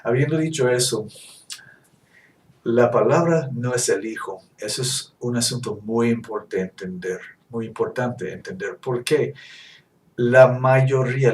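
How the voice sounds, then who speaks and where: A man whose first language is English delivers a teaching sermon, earnest and halting, often correcting himself mid-phrase. His voice sits at an ordinary pitch. It is recorded in a roomy, echoing space from some distance off the mic. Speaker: preacher. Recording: echoey room, distant mic.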